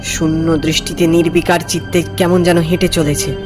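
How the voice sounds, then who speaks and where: A man playing an uneasy, curious houseguest who narrates a cartoon horror story with expressive suspense. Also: a little high, moderate pace, music in the background, natural voice. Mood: suspenseful. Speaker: first-person narrator.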